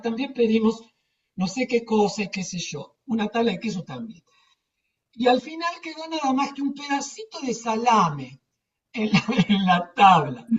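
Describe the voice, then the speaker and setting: An older woman with an Argentine accent, telling anecdotes casually in a rambling, emphatic way with repetitions. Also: mid-range voice. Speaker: theatre teacher. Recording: distant, dull muffled microphone.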